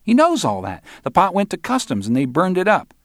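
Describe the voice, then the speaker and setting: Audiobook dealer, read by a male narrator: tense young guy, defensive, insistent, raised pitch. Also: huge pitch swings, fairly quick, no echo, studio quality.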